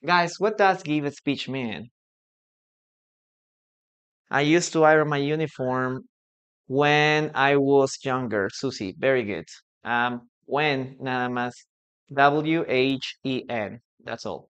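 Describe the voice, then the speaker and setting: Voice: medium pitch, slow, a bit bright, smooth. A native Spanish-speaking English teacher from Peru with a Peruvian accent, leading a live online class and patiently repeating key phrases.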